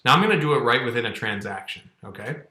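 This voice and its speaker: A man's voice: medium pitch, animated, quick pace, pushed and bright. A man in his thirties giving a casual, conversational tutorial as an instructor, explaining step by step and often checking in. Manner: conversational, explanatory, frequent check-ins like "okay" and "all right". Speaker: accounting course instructor. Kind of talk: casual screen-share tutorial. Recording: roomy, echoing indoor sound.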